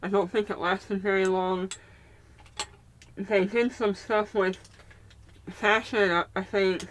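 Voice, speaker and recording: A woman with a strong Mississippi Southern accent talks through her makeup routine in a loose, rambling way. Her speech impediment is plain to hear. Her voice sits at an everyday, middle pitch, and she often trails off while hunting for the right word. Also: fairly even intonation, slow pace. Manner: rambling, searching for words. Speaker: vlogger. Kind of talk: casual get-ready-with-me chat.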